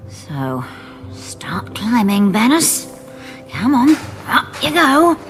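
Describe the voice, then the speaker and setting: A woman playing a brisk, bossy, confident tech-savvy infiltrator in an audio drama. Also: fairly high pitch, sing-song, faint music behind, full character voice. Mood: commanding.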